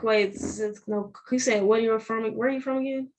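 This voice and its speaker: A woman's voice: fairly high pitch, steady tone, a little fast, a little mellow, rough recording. A young woman with a Southern US accent, a podcast guest talking animatedly in casual chat and often restarting her phrases.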